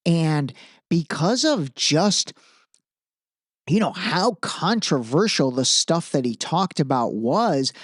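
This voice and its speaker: A man's voice: on the high side, dry recording, studio quality. A man hosting a podcast, giving casual, opinionated commentary in an informal, emphatic way, repeating phrases for emphasis. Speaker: podcast host.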